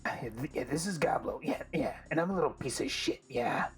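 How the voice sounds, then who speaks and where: An actor voicing an eager, dim male goblin phoning a comedy show, strained and stuffy, halting and repeating himself. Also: medium pitch, expressive, soft background music, natural voice. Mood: eager, insecure. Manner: halting, repetitive, seeking approval. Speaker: caller.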